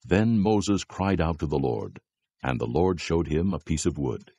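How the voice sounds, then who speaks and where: A man narrating scripture from a script, in a measured storytelling manner. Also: rumbling low, expressive, dark tone, slightly rough, dry recording, dull audio.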